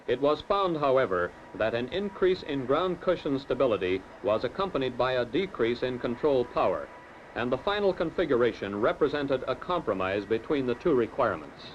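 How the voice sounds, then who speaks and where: A man reading scripted documentary narration in a measured, formal way about technical tests. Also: mid-range voice, big pitch swings, a little hiss or hum. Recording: dull lo-fi film soundtrack.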